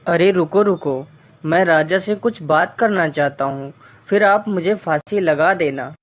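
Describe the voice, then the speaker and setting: A performer voicing a clever male thief in a Hindi moral-story cartoon, speaking in a measured, persuasive way. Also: on the high side, close to an everyday voice.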